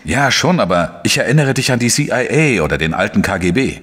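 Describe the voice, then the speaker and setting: A male actor playing a shrewd, dry investigative journalist who talks in a measured, explanatory way in a crime radio play. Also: on the low side, sing-song, a bit bright, husky, long reverb tail, heavily acted. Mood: measured reasoning. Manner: measured, explanatory, matter-of-fact. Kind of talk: German crime radio play, narration and dialogue.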